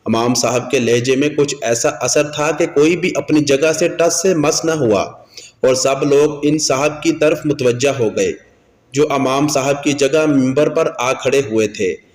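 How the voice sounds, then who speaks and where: Male narrator for a moral tale, medium pitch, heavily reverberant hall-like sound. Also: measured pace. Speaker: storyteller, channel narrator. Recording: echoey with long hall reverb.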